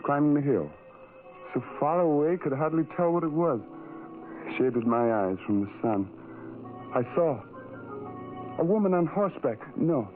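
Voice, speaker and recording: Brooding tormented loner in an old radio drama, repeats himself, haunted confession, thin tinny sound, bit of music. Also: male voice, a little high, lively intonation. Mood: brooding, wary. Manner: brooding, halting, repetitive, intense confessional delivery. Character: lonely, tormented, self-loathing man who hides from people. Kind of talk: 1970s radio horror drama monologue.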